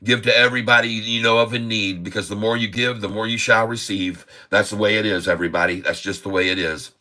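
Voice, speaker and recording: A man's voice: lowish voice, steady tone, fast, pushed and bright, low-quality recording. A man in his sixties hosting a casual live stock chat, jokey and upbeat, chuckling often between remarks. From Haiti. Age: 60s. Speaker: stock livestream host.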